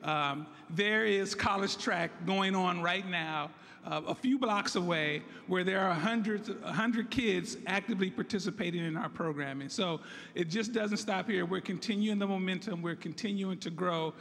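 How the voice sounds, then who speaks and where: Hoarse high-voiced man in an echoey hall. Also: moderate pace, a bit bright. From San Francisco, United States. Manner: earnest, thanking and introducing guests to an audience. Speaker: site director of a youth college program. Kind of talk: ceremonial public speech. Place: large hall at a groundbreaking event. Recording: echoey hall with crowd murmur.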